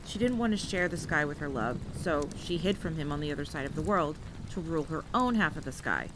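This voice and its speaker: A woman playing an exasperated veteran monster hunter in an audio roleplay, wry and teasing, solemn when telling lore. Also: brisk. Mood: solemn storytelling. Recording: steady rain and rumble behind. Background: rain, campfire ambience.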